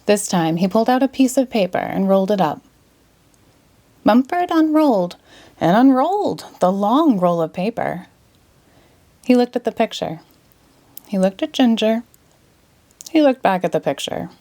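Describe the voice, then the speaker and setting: A woman narrating a children's storybook aloud in an expressive read-aloud style. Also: medium pitch, normal speed, pauses often. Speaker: storybook reader.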